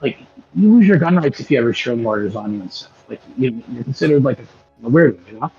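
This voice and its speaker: A man's voice: medium pitch, expressive, fast talker, mellow, breathy edge. A man chatting casually and giving his opinions in a scoffing, dismissive way. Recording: dull lo-fi sound with music behind.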